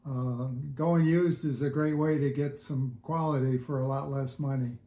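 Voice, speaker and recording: An older man chatting casually in an interview, explaining things in a halting, thoughtful way. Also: medium pitch, fairly even intonation, mellow. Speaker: interview guest, audiophile hobbyist. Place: indoors at home listening room. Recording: roomy, echoey home recording.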